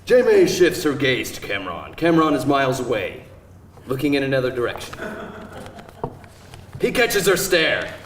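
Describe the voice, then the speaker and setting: A man's voice: medium pitch, sing-song, moderate pace, pauses often. Man reading stage directions, roomy and distant. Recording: roomy live event, distant mic, faint noise.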